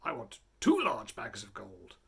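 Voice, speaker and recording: A man's voice: fairly low pitch, wildly swooping pitch, measured pace, vocal fry, breathy edge, pitched below the performer's own voice, full character voice. A man voicing a greedy, restless robber in a fairy tale, eager and scheming.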